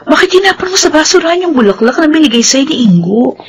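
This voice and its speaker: A woman's voice: high-pitched, very animated, fast talker. An actress playing a young woman, a reproachful friend, in a radio drama. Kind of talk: Filipino radio drama acting.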